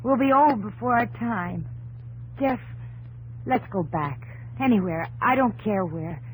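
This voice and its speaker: An actress playing a fearful, worn-out young American pioneer wife, plaintive and emotional, in an old radio drama. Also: female voice, medium pitch, expressive, a little slow, husky, rough recording. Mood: despairing, weary. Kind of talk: 1950s radio drama acting.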